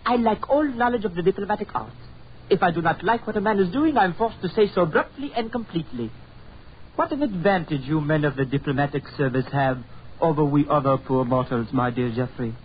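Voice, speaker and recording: An actor playing a blunt, mocking French financier with a French accent and courtly, florid speech in a 1940s radio drama. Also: male voice, on the high side, expressive, brisk, close to an everyday voice. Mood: sardonic, argumentative. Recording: muffled, noisy 1940s radio recording.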